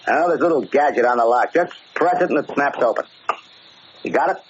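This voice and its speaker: An actor playing a paranoid, bullying gangster in a gruff, slangy, commanding way, in 1940s radio drama style. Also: male voice, mid-range voice, fairly quick, natural voice. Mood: gruff, impatient. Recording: noisy, muffled old-time radio recording.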